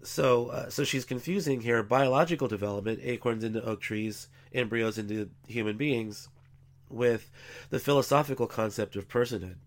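A man hosting a podcast, congested from a cold, explaining arguments in a measured way with frequent fillers. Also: medium pitch. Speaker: podcast host. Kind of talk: scripted-sounding podcast commentary.

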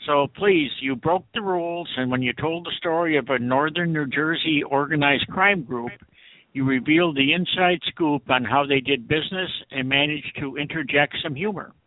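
A man's voice: fairly high pitch, moderate pace, dry recording. A man co-hosting a radio interview, delivering prepared introductions and questions. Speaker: radio show co-host. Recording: narrow phone-like radio line, dry.

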